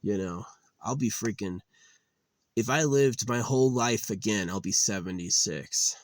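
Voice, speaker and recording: A man's voice: on the low side, a little slow. A man in his late thirties musing in a rambling, weary monologue, with lots of fillers and restarts. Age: 30s. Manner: weary, resigned. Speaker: channel host.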